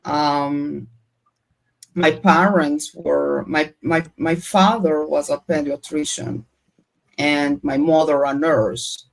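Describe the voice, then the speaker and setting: A middle-aged woman with a low voice and an unhurried pace. Her accent mixes Colombian Spanish and Israeli Hebrew, as a native Spanish speaker from Colombia. She talks casually, like a guest sharing a personal story. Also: steady tone, creaky, rough recording. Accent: mixed Colombian Spanish and Israeli Hebrew. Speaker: panel guest.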